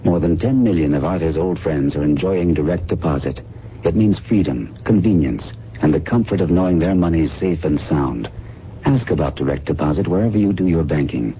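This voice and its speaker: A man reads a scripted public service announcement in an extremely deep voice. The vintage radio sound is dull, with faint noise. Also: expressive, brisk. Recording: muffled, noisy vintage radio broadcast.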